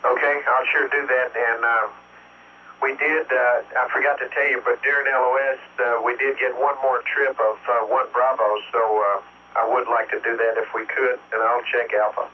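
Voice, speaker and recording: A man astronaut speaking to ground control over space radio, relaxed and chatty with frequent fillers, on a lo-fi radio recording. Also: medium pitch, moderate pace. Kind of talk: air-to-ground radio communication. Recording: dull lo-fi space-to-ground radio with faint hiss.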